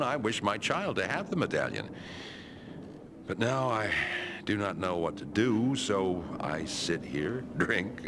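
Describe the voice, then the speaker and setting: A male actor playing a sorrowful tavern drinker in a video game, with a wistful, halting delivery. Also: deep voice. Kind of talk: video game dialogue acting. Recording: noisy game capture.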